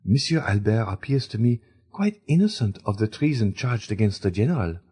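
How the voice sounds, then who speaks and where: A man doing a shy, gentle young woman, speaking softly and hesitantly in a high register. Her voice has a breathy, husky edge, as the narrator puts her on. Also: sing-song, moderate pace. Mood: timid. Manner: hesitant, soft. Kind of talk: audiobook character reading.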